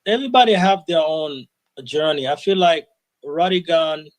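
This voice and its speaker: A man's voice: a little high, lively intonation, moderate pace, dry recording. Earnest man, dry close sound. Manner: earnest, reflective, frequent "you know". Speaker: interview guest, sound system selector. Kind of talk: casual interview.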